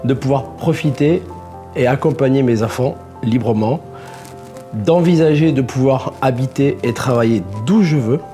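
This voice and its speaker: Mellow-voiced man in his forties. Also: medium pitch, normal speed, faint music behind. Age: 40s. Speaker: entrepreneur giving a testimonial. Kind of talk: promotional testimonial.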